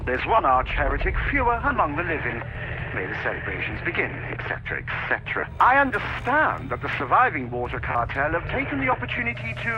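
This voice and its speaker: A man voicing a haughty, theatrical Imperial official in a video game, with florid, dryly sarcastic delivery. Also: fairly high pitch. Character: pompous, sardonic, theatrical male aristocratic Imperial official. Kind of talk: video game mission debrief. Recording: clearly noisy radio-like game transmission.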